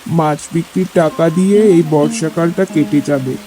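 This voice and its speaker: A man voicing a troubled, earnest middle-aged father from a poor village in an animated cartoon story. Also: on the high side, moderate pace, natural voice.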